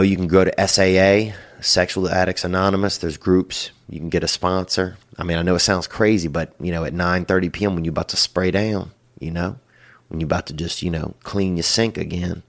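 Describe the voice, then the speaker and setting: A middle-aged man hosting a call-in show, giving rambling, crude advice in a loose drawl, peppered with "you know" and "boy.". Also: sits low. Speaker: podcast host giving advice.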